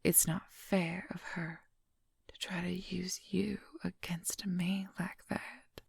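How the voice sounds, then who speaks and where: A woman acts out a possessive young werewolf woman in an ASMR role-play. She whispers very slowly and intimately, with a husky, breathy voice that crackles with heavy fry. The sound is close and dry. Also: on the low side, flat delivery, darkish tone, no echo, heavily acted. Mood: possessive, jealous.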